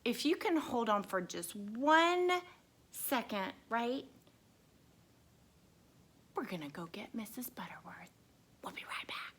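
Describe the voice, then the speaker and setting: A woman hosting story time, talking to young children with hushed, confiding asides. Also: a little high, expressive, takes it slowly, long pauses, husky.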